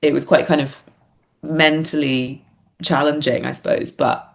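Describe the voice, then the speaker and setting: Low-pitched, creaky-voiced woman. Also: fairly even intonation, a little slow. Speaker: podcast guest, playwright and performer. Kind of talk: reflective interview conversation.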